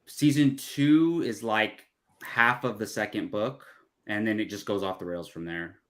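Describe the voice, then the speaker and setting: A man's voice: fairly low pitch, measured pace. A man chatting casually as a movie show panelist, easygoing and informal. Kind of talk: casual chat.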